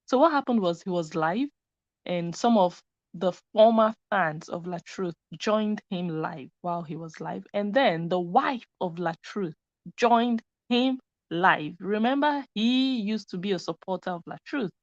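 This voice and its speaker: A woman's voice: medium pitch, expressive, measured pace, no room sound. A woman hosting a live stream, commenting in a chatty way that speaks straight to her viewers. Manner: chatty, talks to viewers directly. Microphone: computer mic. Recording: dry, dull lo-fi sound.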